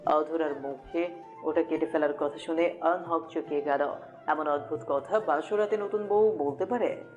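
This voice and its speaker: A man's voice: a little high, moderate pace, dull audio. A man giving a scripted narration of a romantic story. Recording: music bed under the voice. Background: music.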